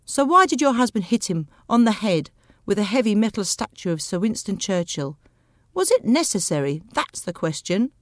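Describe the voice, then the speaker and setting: A woman voicing a sceptical older male police officer in a story, questioning in a deliberate, politely insistent manner. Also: mid-range voice, sing-song, dry recording, studio quality, lightly acted.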